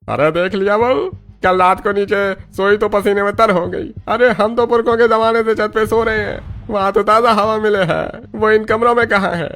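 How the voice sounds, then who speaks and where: A man's voice: high voice, fairly even intonation, moderate pace, few pauses, fairly bright tone. A man voicing a grumbling, dismissive village elder in a Hindi cartoon, with a rustic, chiding, colloquial manner. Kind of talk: Hindi cartoon story dialogue.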